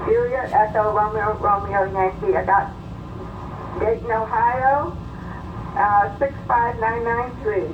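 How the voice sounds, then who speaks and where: A woman's higher-pitched voice comes through a shortwave radio, thin and narrow. She handles the contact in a casual, chatty operator's style. Clear static sits over the distant signal. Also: fairly even intonation, slow. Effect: shortwave single-sideband radio. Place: heard through a radio receiver.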